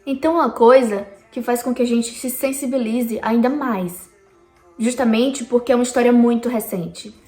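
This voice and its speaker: Brazilian woman vlogger, higher voice, chatty and direct with viewers; echoey room, faint music under. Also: normal speed, darkish tone. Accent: Brazilian Portuguese. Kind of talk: casual explainer vlog. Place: lives in Canada.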